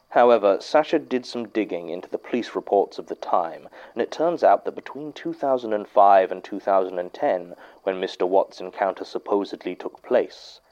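An actor playing a dry, sceptical archivist who records case notes in a formal, measured audio drama monologue. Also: male voice, deep voice, darkish tone, highs cut off, close to an everyday voice.

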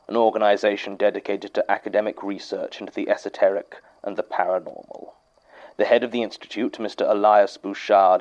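An actor playing a weary, pedantic academic archivist who dictates formally and dryly for an audio drama. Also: male voice, lowish voice, a little mellow, lo-fi sound, lightly acted. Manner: formal, dry, precise. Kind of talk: audio drama monologue, dictating a recorded statement.

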